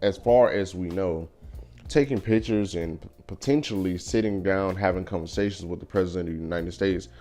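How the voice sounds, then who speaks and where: A young man talking through his opinions in a casual vlog, thoughtful and measured, with frequent fillers. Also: deep voice, a little mellow, soft background music. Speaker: vlogger. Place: car.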